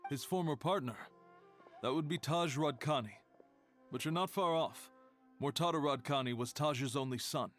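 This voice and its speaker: A man playing a composed, scholarly archive keeper in a video game, speaking in a measured, serious, explanatory way. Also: a little high, a bit bright, close to an everyday voice. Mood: matter-of-fact. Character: composed, scholarly male archive keeper who guards secrets. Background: background music.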